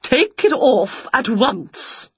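A woman's voice: high-pitched, big pitch swings, slightly rough, dry recording, voice pushed up, exaggerated voice. Woman voicing a bossy, drawling storybook witch aunt, slightly muffled. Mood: bossy, disapproving. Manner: drawn-out, scolding and condescending. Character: bossy, fussy, prim older witch aunt. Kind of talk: children's storybook character voice.

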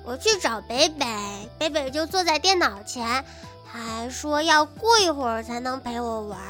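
A very high, bright child's voice over music. She is a sweet, talkative little girl in an audio drama, earnest and childlike as she explains things. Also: moderate pace. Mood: earnest, innocent. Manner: childlike, hesitant recounting, refers to self by nickname. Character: sweet chatty little girl telling mother about her day. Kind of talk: modern audio drama acting. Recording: soft music behind the voice.

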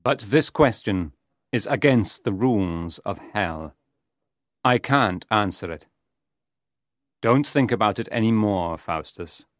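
A man's voice: lowish voice, animated, slow, long pauses, no echo, clean studio sound. A man playing a stern, menacing and evasive devil servant in a dramatised audiobook. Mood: stern, warning.

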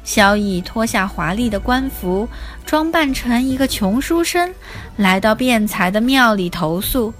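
A woman narrating a scripted children's story as its host, in an expressive, simply explaining way. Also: on the high side, a little slow. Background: soft music.

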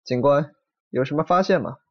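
Polite earnest detective sidekick, radio drama, dry slightly dull sound. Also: male voice, a little high, normal speed, dry recording. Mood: businesslike. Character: courteous, businesslike assistant police detective. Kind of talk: broadcast drama acting.

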